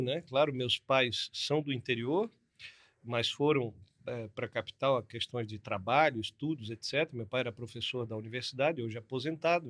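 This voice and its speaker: A man's voice: medium pitch, fairly bright tone. A man in his fifties from Florianópolis with a southern Brazilian accent, a plastic surgeon telling his story in a laid-back, chatty way as a podcast guest. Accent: southern Brazilian Portuguese. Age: 50s. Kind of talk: casual interview storytelling.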